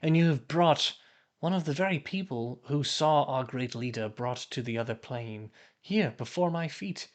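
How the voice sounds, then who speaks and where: A man's voice: mid-range voice, voice pushed up, lightly acted. A man voicing an imperious, threatening cult leader with a pompous, formal way of speaking in a tabletop game. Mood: imperious, angry. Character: imperious, threatening male cult leader claiming divine will.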